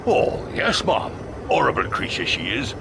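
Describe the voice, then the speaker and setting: A man's voice: medium pitch, very animated, unhurried, vocal fry, background noise, exaggerated voice. A man playing a boastful, deferential military robot sergeant major in a sci-fi audio drama. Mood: boastful, chatty.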